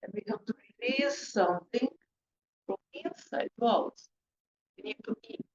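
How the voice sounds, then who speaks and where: High-pitched non-native woman presenter, echoey room. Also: wildly swooping pitch, darkish tone. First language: not English. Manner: emphatic, questioning, short deliberate phrases. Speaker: presenter, hypnotherapist. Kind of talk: interactive talk to a group. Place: room with an audience, indoors. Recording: echoey, muffled room recording with background noise.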